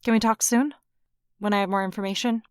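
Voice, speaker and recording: A woman's voice: mid-range voice, fairly even intonation, fast talker, clean tone, no room sound, lightly acted. Actress for offhand, evasive female caller, mid-range voice, close dry sound, audio drama. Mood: casual. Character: Inez, evasive, casual woman caller, secretly probing. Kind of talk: audio drama phone dialogue.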